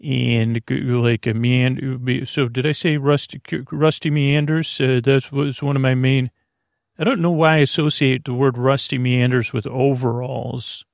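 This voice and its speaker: A man's voice: mid-range voice, steady tone, measured pace, dry recording, studio quality. A man hosting a sleep podcast, rambling in a hesitant, meandering way with lots of fillers and restarts. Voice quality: creaky. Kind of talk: rambling bedtime monologue.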